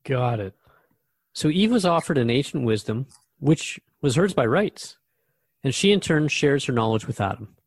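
A man's voice: medium pitch, lively intonation, moderate pace, husky, no room sound. A man acting as a radio host in a conversational drama, talking in an inquisitive, reflective way.

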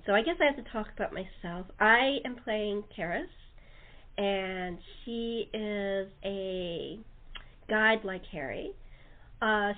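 A woman hosting a casual, informal interview chat about her creative work. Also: medium pitch. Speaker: host, radio-play writer and actor. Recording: muffled phone-line quality.

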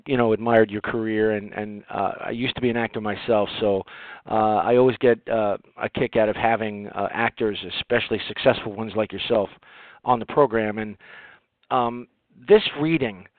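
An American man hosting a radio interview, speaking conversationally with halting, hesitant phrasing. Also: mid-range voice, no echo. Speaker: radio show host, interviewer. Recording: dry, narrow phone-like internet radio sound.

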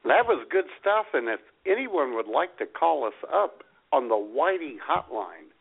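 A man hosts a radio show in casual comedic banter. His pitch is mid-range and moves around a lot, with a gravelly creak in the voice. The sound is slightly dull and lo-fi, like compressed audio. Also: sing-song, measured pace.

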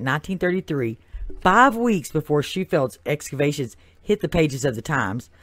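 A host with a noticeable Texas accent reads a prepared narration at a middling pitch, in a steady storyteller's manner. The recording is close and dry, with no room sound at all. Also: dry recording. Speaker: podcast host. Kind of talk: reading prepared narration on a mystery topic. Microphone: new desktop microphone.

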